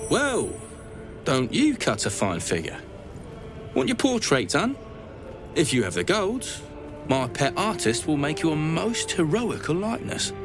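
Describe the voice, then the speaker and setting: Cheeky smuggler in a game, voice goes up and down a lot, music behind him. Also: male voice, fairly high pitch, very animated, measured pace. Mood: sly salesmanship. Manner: cheeky, colloquial, haggling. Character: gruff roguish male smuggler hawking a captive painter. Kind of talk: video game character dialogue. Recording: game dialogue over clear background music.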